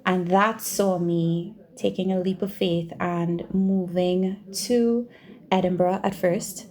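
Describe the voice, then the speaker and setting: A woman's voice: lowish voice, fairly even intonation, takes it slowly, darkish tone. A woman from Trinidad and Tobago with a Trinidadian accent, answering interview questions conversationally and repeating phrases for emphasis. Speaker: podcast guest, doctoral researcher and university teacher.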